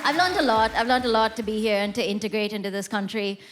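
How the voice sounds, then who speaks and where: A woman's voice: a little high, fast talker, a crowd in the background, noisy background. Woman doing self-mocking stand-up. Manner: self-mocking, conversational punchlines. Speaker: stand-up comedian. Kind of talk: stand-up comedy set. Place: theatre stage before a live audience. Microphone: stage mic.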